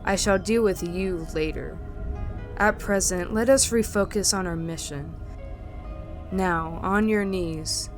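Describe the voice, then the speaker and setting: Woman as a low, imperious undead necromancer. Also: slow, over music. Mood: stern, commanding. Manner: formal, haughty, precise diction. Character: imperious, ancient female vampire-werewolf necromancer. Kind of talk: anime-style audiobook drama acting.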